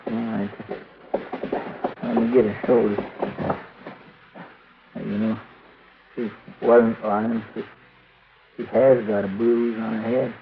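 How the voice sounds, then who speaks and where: An actor playing a tender-hearted, folksy deputy sidekick with a drawling, subdued way of talking in a radio western. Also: male voice, on the low side, little pitch movement, slow, long pauses, slight background noise. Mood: sorrowful, subdued. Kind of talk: old-time radio western acting. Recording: narrow-band vintage radio recording.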